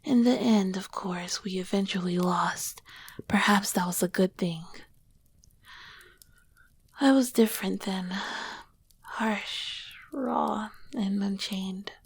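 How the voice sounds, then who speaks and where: A woman playing an ancient, tender, teasing succubus queen in an intimate ASMR roleplay, soft-spoken and often dropping to a whisper. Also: mid-range voice, gravelly, breathy edge, exaggerated voice. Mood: wistful, solemn. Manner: slow, gentle, affectionate. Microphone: close microphone, dry.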